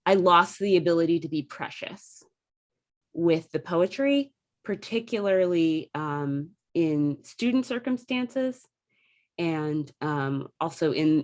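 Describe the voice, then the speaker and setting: Woman, lower register, theatre-teacher guest talking casually and with enthusiasm on a panel.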